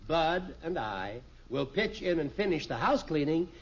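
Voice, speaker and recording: A man's voice: a little high, very animated, unhurried. An actor playing a jovial, folksy middle-aged American businessman and dinner guest in a 1950s radio sitcom, speaking emphatically. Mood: hearty, insistent. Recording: dull vintage radio recording, audience noise, hiss.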